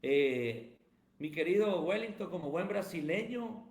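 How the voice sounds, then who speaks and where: A man talks in a high, ringing voice. He answers like a pastor in the pulpit, building emphatic runs of phrases. The room around him is roomy and echoey. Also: expressive, a little slow. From Guatemala. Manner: emphatic, sermon-like cadence. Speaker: pastor and evangelist, guest. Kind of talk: preaching-style interview answers. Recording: roomy echo.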